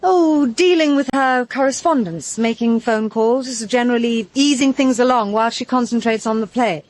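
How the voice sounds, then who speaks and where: An actress playing a polite, composed young British secretary in a radio drama. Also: female voice, on the high side, moderate pace, darkish tone, lightly acted, low-quality recording. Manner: polite, unruffled. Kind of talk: full-cast radio drama acting.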